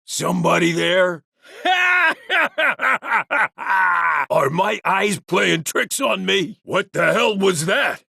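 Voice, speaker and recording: An actor playing a jumpy, blustering bandit grunt in a video game, with a husky edge. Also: male voice, high-pitched, big pitch swings, very slow, pushed and bright, no room sound, studio quality, full character voice. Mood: alarmed, blustering. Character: jumpy hungry male bandit guarding treasure, dim and blustering. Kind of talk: video game character barks.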